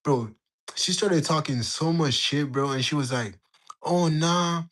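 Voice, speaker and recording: A young man in his late teens from Jamaica, telling a story in a slangy, animated way while bantering with his livestream chat. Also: on the high side, a little slow. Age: young adult. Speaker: livestream host. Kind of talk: casual storytelling to live chat.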